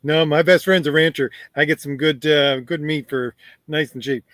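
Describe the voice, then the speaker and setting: A man guest in a casual chat, relaxed and laughing along. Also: fairly high pitch, normal speed, no room sound, clean studio sound. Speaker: podcast guest.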